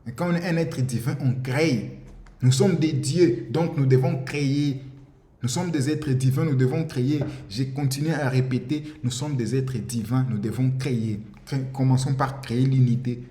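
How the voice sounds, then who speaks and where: A man's voice: mid-range voice, moderate pace, mellow. A man from the DR Congo, giving an impassioned monologue, insistent and repetitive, often stumbling over words. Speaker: commentator. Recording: roomy echo with faint ambience.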